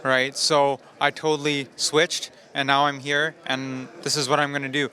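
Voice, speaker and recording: A man's voice: medium pitch, level tone, normal speed, bright, forceful tone. A man speaking as a first-time filmmaker in a casual interview, earnest and motivational, often checking in with tag questions. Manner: earnest, often tags phrases with "right?".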